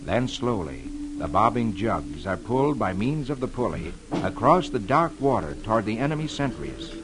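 A man's voice: lowish voice, sing-song, normal speed. An actor playing a jolly, friendly man who reads comics to children on old-time radio, in a theatrical, emphatic storytelling style. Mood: dramatic, suspenseful. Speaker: host reading newspaper comics to children. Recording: old radio broadcast with music behind.

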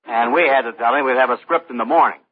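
A man's voice: mid-range voice, quick pace, natural voice. A man playing an agreeable, easygoing, folksy entertainer in an old-time radio drama. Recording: tinny, noisy vintage radio recording.

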